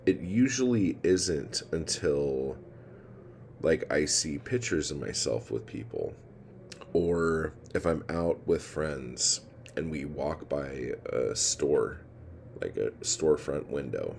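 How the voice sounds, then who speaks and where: A man in his forties talks into a phone camera held low in a room at home, sounding tired and down. His voice is extremely deep and gravelly, and he speaks slowly. The recording carries a steady hiss of background noise. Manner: rambling, frustrated, swears freely. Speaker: poet vlogger. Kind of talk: casual confessional vlog. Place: indoor room with ceiling fan. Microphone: phone camera held low, close.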